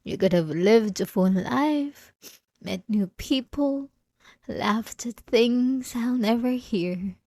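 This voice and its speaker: An obsessive, delusional wife, acted by a woman in an intimate audio roleplay. Her voice is high and soft-edged, and she speaks slowly and haltingly, full of tearful remorse and possessive love. It is very close and dry on the microphone. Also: no echo. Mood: despairing, hushed.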